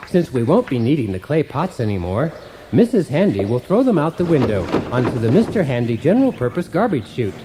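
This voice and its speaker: A man's voice: medium pitch, sing-song, a little fast, noisy background, close to an everyday voice. A man playing a cheerfully oblivious husband who hosts a how-to program in a radio comedy, with an unflappable instructional delivery. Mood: instructive, cheerful. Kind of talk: scripted radio comedy parody of a how-to show.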